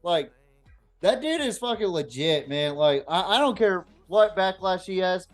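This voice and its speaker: Higher-pitched man, profane casual podcast host. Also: lively intonation, measured pace, faint music behind. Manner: profane, slangy, blunt. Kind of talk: casual unscripted sports talk chat.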